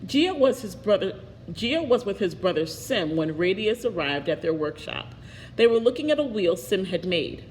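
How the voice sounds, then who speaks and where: A woman's voice: mid-range voice, sing-song, normal speed, darkish tone. A woman reading a picture book aloud expressively, with little asides to her listeners. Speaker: storybook reader. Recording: echoey reverberant room, faint noise.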